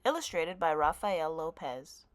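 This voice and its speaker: A woman reading a children's picture book aloud, with deliberate, expressive, measured phrasing. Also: low-pitched. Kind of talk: scripted read-aloud narration for young children.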